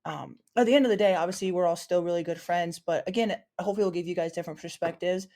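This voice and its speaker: A woman's voice: fairly low pitch, quick pace, mellow. A woman co-hosting a podcast in casual chat, rambling and circling back as she talks. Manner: rambling, full of ums and restarts. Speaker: podcast co-host.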